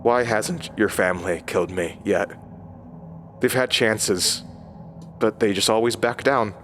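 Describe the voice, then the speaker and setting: A lowish male voice with faint noise behind it. a troubled, guarded man seeking answers, halting and questioning, in the style of a horror audio drama. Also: fairly bright tone. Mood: wary, confused. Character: troubled, wary man demanding answers about his past.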